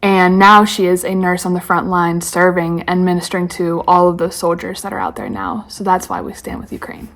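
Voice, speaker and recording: Young woman with a lowish voice, sincere. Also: a little fast, hardly any pauses, fairly bright tone. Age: young adult. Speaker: guest, host's daughter.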